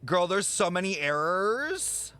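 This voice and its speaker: A man streaming his reaction to a game, excitable and campy, swearing freely and saying "like" constantly. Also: high voice, takes it slowly, smooth. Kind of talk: casual reaction commentary.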